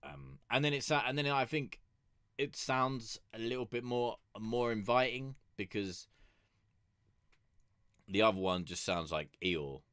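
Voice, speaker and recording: A man's voice: mid-range voice, lively intonation, a little slow, pauses often, fairly bright tone, clear, resonant tone, no echo, clean studio sound. A man streaming music reviews, chatting casually and rambling with lots of fillers, restarts and the odd swear word. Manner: consciously keeps his voice raised above its natural depth. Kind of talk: casual chat.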